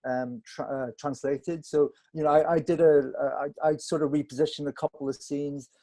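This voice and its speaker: Halting, mellow-voiced man in a Q&A. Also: on the high side, steady tone. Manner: halting, searching for words, self-effacing. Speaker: film director interviewed on a panel. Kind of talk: conversational Q&A explanation.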